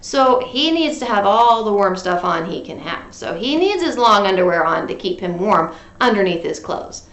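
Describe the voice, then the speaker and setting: A woman's voice: medium pitch, big pitch swings, moderate pace, hardly any pauses, a bit bright, roomy sound. A woman reading a picture book to children in a playful, interactive way, coaxing listeners along. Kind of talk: animated children's read-aloud with sung refrains and asides.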